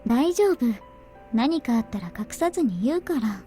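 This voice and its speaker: An actress voicing a cool, blunt but quietly earnest young woman in a visual novel, with a matter-of-fact, understated manner. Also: on the high side, very animated, fast talker. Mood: earnest, slightly put out. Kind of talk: Japanese visual novel voice acting. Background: game music.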